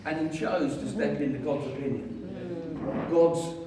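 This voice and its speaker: A man preaching a lively, jokey sermon, telling a story with voices and getting the congregation to join in. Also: fairly high pitch, slow pace, noisy background. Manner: jokey, animated storytelling with audience participation. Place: large hall with an audience. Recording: echoey hall, far from mic, crowd.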